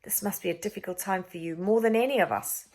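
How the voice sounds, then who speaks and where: A woman's voice: medium pitch, quick pace, a little mellow, slight background noise, lightly acted. A woman voicing a scheming male royal adviser in a children's story, formal, pointed and sanctimonious. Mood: falsely soothing. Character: smooth, insinuating male Lord Protector, scheming royal adviser.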